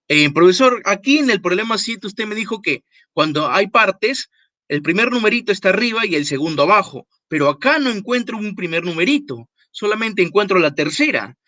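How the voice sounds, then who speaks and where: A man's voice: sits high, animated, normal speed, bright, forceful tone, no echo. A man voicing a puzzled young student who asks the teacher a question.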